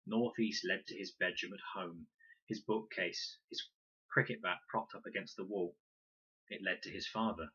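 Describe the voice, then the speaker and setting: A man reading a children's novel aloud as expressive, deliberate scripted narration. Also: low voice, slightly rough, lo-fi sound. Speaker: story reader, teacher.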